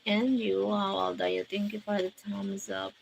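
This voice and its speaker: A woman in her forties from the Philippines, hosting a casual livestream and slowly greeting viewers by name as she answers their comments. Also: mid-range voice, level tone, creaky, background noise. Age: 40s. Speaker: livestream host. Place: countryside outdoors at night.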